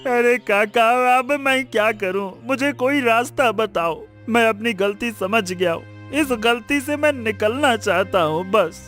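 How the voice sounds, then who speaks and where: A despairing gambler husband acted by a man in an audio story. The voice is very high and bright, full of self-pity. The lines drag slowly, wailing and pleading. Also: faint music behind, exaggerated voice. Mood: pleading misery. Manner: lamenting, pleading, drawn-out wailing.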